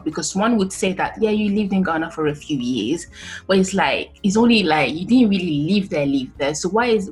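A woman hosting a conversational interview, curious and probing, with a husky voice. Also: fairly low pitch, poor audio. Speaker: interviewer, host.

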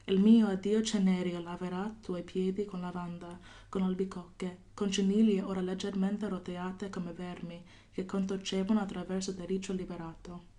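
A woman poet from California, a guest on a podcast, talking thoughtfully with many pauses and fillers and reading her poem. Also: mid-range voice, level tone, warm tone. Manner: thoughtful, hesitant with many fillers, laughs often. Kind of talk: podcast interview with poetry reading.

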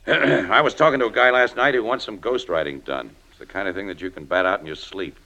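A hard-nosed but decent press photographer in a vintage radio drama. His voice is very low yet forceful and bright, and he talks bluntly in firm, skeptical slang. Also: a little fast, vocal fry, rough recording. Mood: gruffly kind. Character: tough, decent, skeptical newspaper photographer, a man. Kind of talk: 1950s radio drama acting.

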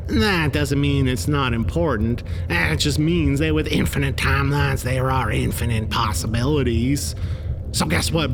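A man's voice: a little high, background noise. A man hosting a show with an earnest, quirky, folksy monologue, stammering now and then as he restarts. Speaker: podcast host and author. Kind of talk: folksy scripted comic monologue.

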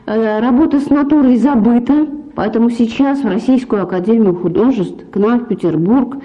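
A woman's voice: fairly high pitch, normal speed. A woman speaking as an art history lecturer, in a formal, emphatic way. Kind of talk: interview commentary on art history. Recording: slightly dull radio sound.

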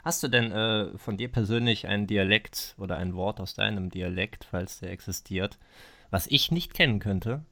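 A man hosting a casual podcast interview, relaxed and easygoing, often repeating "verstehe" and clearing his throat. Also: fairly low pitch, normal speed. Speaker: podcast host.

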